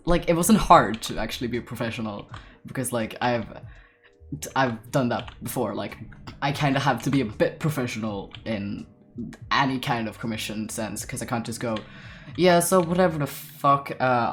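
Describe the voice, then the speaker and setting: A young man in his late teens, with a deep voice, talks loosely to his stream while he draws. He rambles through strings of fillers, breaks into laughs, and drops casual swears. His pitch sits in a middle range for a man. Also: a bit bright. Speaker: artist streamer. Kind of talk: casual livestream chat while drawing.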